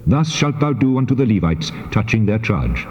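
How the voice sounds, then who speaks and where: God in a bible audio drama, stately deep voice, huge reverb, dull tape sound. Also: male voice, big pitch swings, a little mellow. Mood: solemn, commanding. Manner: stately, measured, authoritative. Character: God, solemn commanding male deity giving laws. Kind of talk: dramatized scripture reading. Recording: dull cassette tape, long cavernous reverb.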